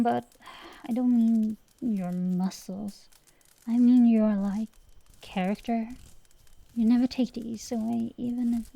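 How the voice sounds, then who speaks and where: Brash tomboy dragon girl played by a woman, highish voice, unhurried, teasing and secretly fond, for fantasy ASMR roleplay. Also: vocal fry, mellow, no room sound, full character voice, poor audio. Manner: teasing, blustering, easily flustered. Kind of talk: intimate roleplay ASMR audio drama.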